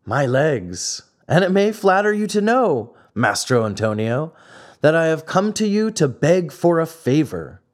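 A dapper little old man with a very short temper, voiced by a male narrator. His storybook voice sits high and swoops up and down, emphatic and easily offended. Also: sing-song, measured pace. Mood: eager, courteous.

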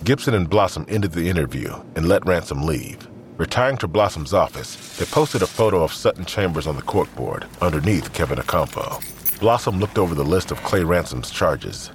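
A man with a low voice narrates a scripted crime drama. His pitch moves expressively as he tells the story, and a faint ambience sits behind him. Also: lively intonation, moderate pace, slight background noise.

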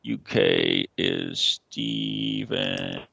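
A man's voice: rumbling low, sing-song, drawn-out words, gravelly, fairly bright tone, breathy edge, no echo, muffled recording. A man hosting a radio show, chatting playfully and rambling with fillers. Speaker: host. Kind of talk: jokey radio show chat.

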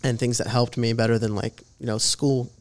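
A man's voice: mid-range voice, flat delivery, normal speed. A man in his twenties from Jordan, a podcast guest telling his story in a loose, rambling way full of fillers. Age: 20s. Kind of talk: casual interview chat.

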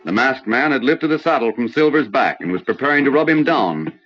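A man's voice: mid-range voice, lively intonation, a little fast, close to an everyday voice. A man playing a stirring, declamatory announcer who narrates a heroic western in old-time radio style. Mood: storytelling. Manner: dramatic, declamatory. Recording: muffled vintage radio recording.

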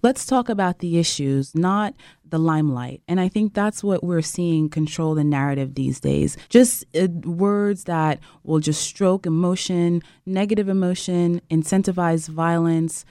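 A woman's voice: lowish voice, measured pace, mellow, clean tone, no room sound, studio quality. A woman from Jamaica, a congressional candidate, speaking firmly as a radio show guest. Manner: firm, campaign-speech delivery. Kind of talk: political interview by phone or studio line.

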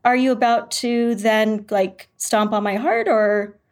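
A woman's voice: on the high side, fairly even intonation, slow. A woman author being interviewed, talking thoughtfully and candidly, searching for her words. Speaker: author and guest. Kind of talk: conversational interview.

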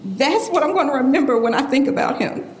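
A woman's voice: high-pitched, lively intonation, a little fast. An actress playing a tough young woman shaken by grief, pleading and blunt in an audio drama. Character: grieving, tough young woman, shaken by her boyfriend's death. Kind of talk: full-cast audio drama acting. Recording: roomy echo, faint background noise.

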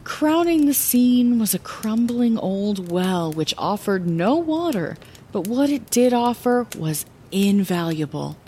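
Woman acting scrappy young female lighthouse keeper, swooping expressive pitch, conversational fantasy storytelling, faint rumble behind. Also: slow. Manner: expressive, hesitant, conversational asides. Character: Zellera, chatty, scrappy young woman lighthouse keeper recounting secret treasure. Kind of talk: audio drama bedtime-story narration.

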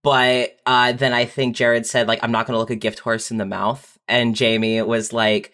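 A young adult speaking casually and candidly, telling personal stories in a halting way with frequent repeated words. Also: medium pitch, level tone, a bit bright. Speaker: podcast guest writer.

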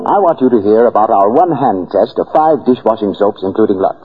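A man's voice: on the low side, animated, brisk, over loud music. A man working as a radio announcer, reading vintage scripted advertising in a polished, emphatic way. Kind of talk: 1930s-40s scripted radio advertising read. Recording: muffled vintage radio recording.

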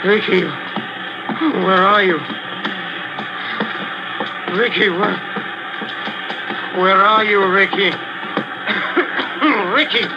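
A man's voice: high voice, fairly even intonation, drawn-out words, pauses often, very noisy, heavily acted. An actor playing a desperate, abandoned man who pleads and screams for help, in a 1940s radio drama. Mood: frantic. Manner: frantic, pleading, rising to screams. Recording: dull old radio broadcast with loud music.

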